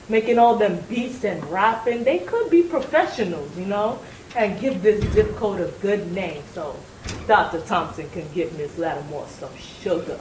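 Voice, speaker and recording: A woman playing a weary, gossipy older school staffer who sighs and exclaims as she talks. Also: on the high side. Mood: fanciful. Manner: sighing, chatty, drawn-out exclamations. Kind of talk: stage monologue. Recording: echoey room from far off.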